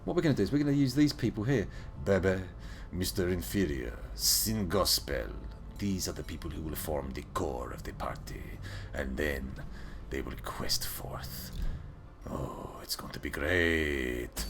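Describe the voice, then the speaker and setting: A man's voice: rumbling low, a little slow, background noise. A young man streaming a video game live, playful and joking, with muttered asides.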